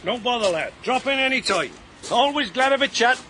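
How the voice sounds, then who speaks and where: A man's voice: very high-pitched, animated, sharp tone, a little hiss or hum, exaggerated voice. An actor playing an older retired policeman, chatty and nostalgic, in a naturalistic crime drama. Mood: friendly. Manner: chatty, unhurried, chuckling old-timer with British slang. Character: retired policeman, older man, avuncular, nostalgic, shrewd adviser.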